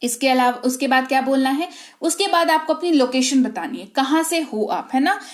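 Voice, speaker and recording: Young Indian woman teacher, fairly high voice, deliberate and emphatic. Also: expressive. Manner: slow, emphatic, checks understanding often. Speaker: English teacher. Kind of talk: spoken English lesson.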